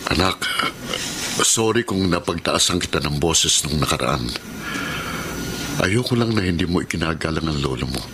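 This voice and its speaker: A man's voice: a bass voice, lively intonation, normal speed, long pauses, background noise. A man playing a humble, self-doubting working father in a Filipino radio drama, earnest and plain-spoken. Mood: pleading.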